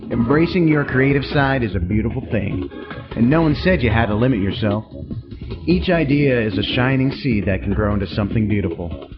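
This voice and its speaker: A man's voice: mid-range voice, lively intonation, fairly quick. A man reading a scripted podcast promo as its host. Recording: slightly dull, music bed. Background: music.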